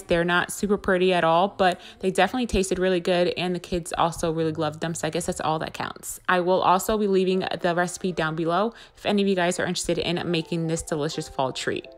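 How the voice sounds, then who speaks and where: A woman in her thirties talks easily and a little low to her viewers, walking through a home recipe in a friendly, chatty way. She sounds like she is filming herself on a phone held at arm's length in a home kitchen. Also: steady tone, brisk, hardly any pauses. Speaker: lifestyle vlogger. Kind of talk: casual cooking and cleaning voice-over.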